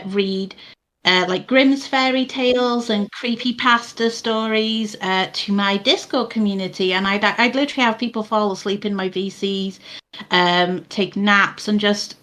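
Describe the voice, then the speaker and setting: A Welsh woman in her early thirties talks freely as an interview guest, drifting from thought to thought and restarting her sentences. Her voice is bright and soothing. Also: mid-range voice. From Wales. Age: 30s. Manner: chatty, rambling, many fillers and restarts. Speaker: guest, VTuber. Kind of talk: casual interview chat. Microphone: boom mic.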